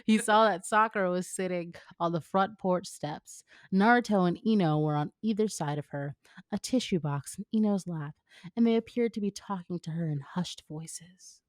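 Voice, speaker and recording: A woman's voice: on the low side, normal speed, no echo, studio quality. A woman co-hosting a casual comedic fan fiction read-aloud, slangy and teasing, often breaking into giggles.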